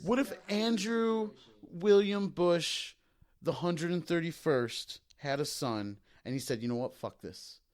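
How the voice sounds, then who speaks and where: A man's voice: on the high side, takes it slowly. A man co-hosting a podcast in casual, joking banter, swearing freely and playfully spinning out hypothetical scenarios. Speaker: podcast co-host.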